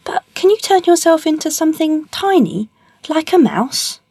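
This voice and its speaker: A woman's voice: high-pitched, lively intonation, moderate pace, dark tone, no room sound, voice pushed up. A woman voicing a clever male storybook cat, eager and persuasive, with courtly, emphatic speech. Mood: flattering, sly.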